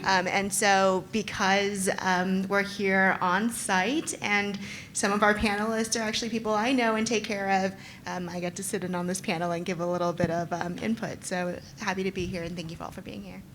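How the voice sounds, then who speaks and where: A woman's voice: mid-range voice, little pitch movement, moderate pace, a bit bright, slight background noise. A woman neurologist explaining things to patients at a live panel, thoughtful and hesitant, with many ums. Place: event venue in front of an audience.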